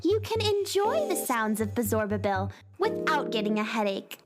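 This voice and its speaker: An actress playing an eager, helpful young space heroine in a children's audio drama, earnest and exclamatory. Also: female voice, a little high, big pitch swings, moderate pace, over loud music. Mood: eager, amazed. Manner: earnest, bright-eyed, exclamatory.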